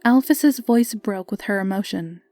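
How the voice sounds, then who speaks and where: A woman's voice: mid-range voice, normal speed, darkish tone, soft background music. A woman reading scripted story narration.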